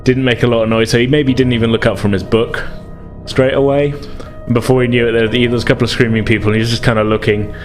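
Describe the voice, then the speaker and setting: A man's voice: mid-range voice, little pitch movement, fast. A man improvising tabletop game narration as game master, in a tentative, conversational way. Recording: music behind the voice.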